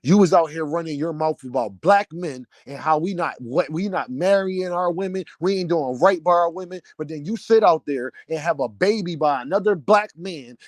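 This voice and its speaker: Loud, heated man ranting with a deep voice. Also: animated, moderate pace, few pauses, no room sound, studio quality. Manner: loud, heated, preaching cadence. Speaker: vlogger, channel owner. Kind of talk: passionate rant to camera.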